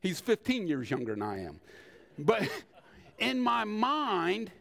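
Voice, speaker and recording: An older man preaching in a conversational, storytelling way, with rhetorical questions and deliberate pauses. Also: high voice, huge pitch swings, vocal fry, a bit bright. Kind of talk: sermon with personal anecdotes.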